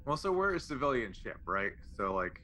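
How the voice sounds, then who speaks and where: Bright-voiced man playing a tabletop game. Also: mid-range voice, sing-song, normal speed, a little hiss or hum. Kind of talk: casual in-game chat, reasoning aloud.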